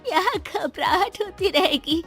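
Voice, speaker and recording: An elderly, doting mother from a moral story, acted by a woman, with a high voice that swoops with feeling. She pleads tenderly and anxiously, with a tearful edge, over faint music. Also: expressive, slow, exaggerated voice. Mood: anxious, pleading. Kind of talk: Hindi moral story dramatisation.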